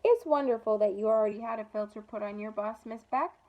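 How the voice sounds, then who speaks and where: An eccentric, upbeat lady schoolteacher from a storybook, read by a woman. Her voice is high, polite and enthusiastic. The recording is slightly dull. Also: brisk, natural voice. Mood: pleased.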